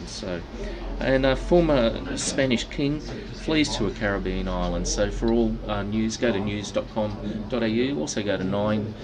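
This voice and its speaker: A man hosting a livestream, reading a casual news roundup and chatting with guests in a relaxed way, full of ums and uhs. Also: fairly low pitch. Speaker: livestream host. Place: busy coffee shop. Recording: café crowd chatter behind.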